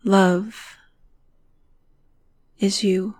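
Woman, guided meditation, very slow pacing, even soft intonation, soothing, trailing into whispers. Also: medium pitch. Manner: soothing, breathy, phrase endings trailing into whisper.

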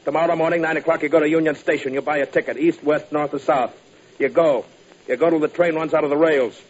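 An American actor playing a boastful, jealous gangster boss in a radio crime drama, terse and insistent, questioning in short bursts. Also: male voice, a little high, steady tone, fast, close to an everyday voice. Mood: threatening. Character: menacing male bootleg-era gangster boss, boastful, jealous husband. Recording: dull, noisy vintage radio broadcast.

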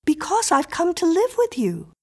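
A woman's voice: very high-pitched, huge pitch swings, moderate pace, pitched above the performer's own voice, heavily acted. A woman voicing an eerie, self-assured little girl in an audiobook, sweetly imperious and precociously polite. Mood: coolly insistent. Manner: composed, precociously polite, presumptuous. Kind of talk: audiobook character acting.

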